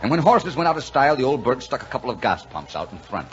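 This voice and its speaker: An actor playing a kindly, gruff, lonely truck driver in folksy 1940s radio drama style. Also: male voice, medium pitch, big pitch swings, quick pace, a bit bright. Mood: reassuring. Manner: folksy, plainspoken. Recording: muffled, noisy 1940s radio recording.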